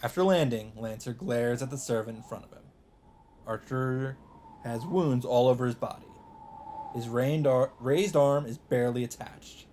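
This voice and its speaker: A man narrating a visual novel as a let's play host, reading the text aloud in a deliberate, emphatic, dramatic style. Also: medium pitch, warm tone, slight background noise.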